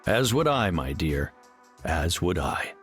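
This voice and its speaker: A man voicing a devoted, brainy superhero husband in a video game, hesitant and gently dry. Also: low-pitched, very animated, takes it slowly, full character voice. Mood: sheepish, affectionate. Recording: music behind.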